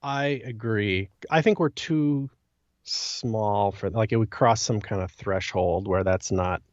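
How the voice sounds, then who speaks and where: Male podcast co-host, slightly low voice, informal and chatty, muffled audio. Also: takes it slowly, dry recording. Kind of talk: casual podcast discussion. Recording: dull, muffled, dry close audio.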